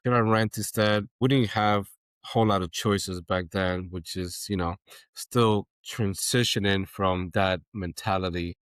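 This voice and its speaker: A man's voice: low voice, steady tone, a little slow, a bit bright, no room sound. A man hosting a podcast interview, conversational and reflective in an informal way. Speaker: podcast host, military veteran.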